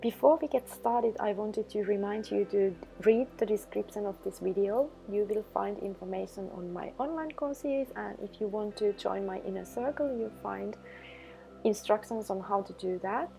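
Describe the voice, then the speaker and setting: A woman leading a guided meditation, giving instructions in slow, measured phrases. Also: mid-range voice, gravelly, dark tone, poor audio. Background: background music.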